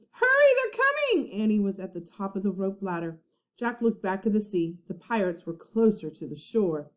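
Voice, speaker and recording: A woman reading a children's storybook aloud like a teacher, with expressive, dramatic narration. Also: mid-range voice.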